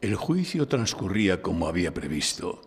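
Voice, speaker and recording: A man from Spain playing a shrewd, composed defense lawyer who narrates his own case deliberately in a live audio drama. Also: sits low, big pitch swings, husky, full character voice. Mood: measured narration. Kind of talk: courtroom audio drama with hardboiled first-person narration. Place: recorded live before an audience in a library. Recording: live stage recording in reverberant hall.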